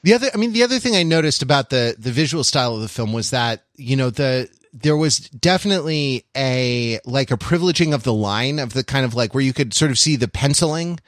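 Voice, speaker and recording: A man co-hosting a podcast, talking through films casually in a halting, discursive way with many false starts. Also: medium pitch, lively intonation, no room sound, studio quality. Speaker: podcast co-host.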